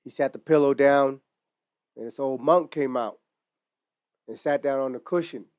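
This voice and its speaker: A man in his forties talks earnestly and reflectively, with casual slang running through his storytelling. His voice is smooth and clear at an ordinary middle pitch. The sound is dry and close, with no room echo. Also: normal speed, darkish tone. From Utah, United States. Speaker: merch brand founder. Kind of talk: earnest personal monologue to camera. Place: indoors in front of merchandise display.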